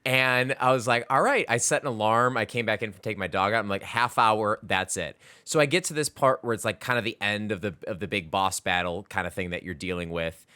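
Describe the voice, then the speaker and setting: A man's voice: lowish voice, a little fast, hardly any pauses, sharp tone, clean tone, no echo. A man co-hosting a podcast, talking casually about video games in an informal, emphatic way with lots of "like". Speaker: podcast co-host.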